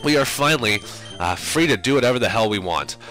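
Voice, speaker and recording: A man's voice: on the low side, animated, a little fast, sharp tone, faint music behind, poor audio. A young man with a cold, sounding sinusy, casually rambling through let's play commentary with lots of fillers. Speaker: game commentator.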